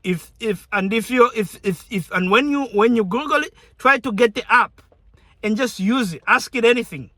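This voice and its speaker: Man from zambia, high bright voice, chatty vlog talk, dry close mic. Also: moderate pace, dry recording. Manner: chatty, conversational, repeats words and restarts. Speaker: YouTuber. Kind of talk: casual direct-to-camera talk.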